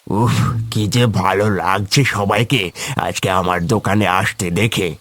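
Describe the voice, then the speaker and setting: Man playing deep-voiced genial tea seller. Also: fairly even intonation, unhurried, a bit bright. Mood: genial, soothing. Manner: hospitable, placating. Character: genial, welcoming male village tea-stall keeper. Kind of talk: cartoon character acting.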